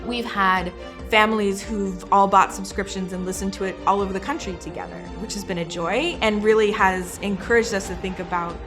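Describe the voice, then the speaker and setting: Woman in an interview over clear music. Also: medium pitch, steady tone, brisk. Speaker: theatre staff member. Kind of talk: interview talk.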